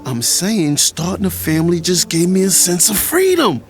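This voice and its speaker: A man's voice: high voice, big pitch swings, normal speed, faint music behind. A man with an American accent playing a guarded, protective older brother behind bars in an audio drama, talking bluntly. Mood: earnest, hurt. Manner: blunt, colloquial.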